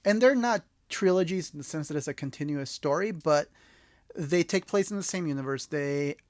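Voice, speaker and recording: A man's voice: fairly high pitch, moderate pace, darkish tone, no room sound, studio quality. A man from El Salvador, a native Spanish speaker, co-hosting a podcast and casually discussing films with earnest enthusiasm. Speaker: podcast co-host.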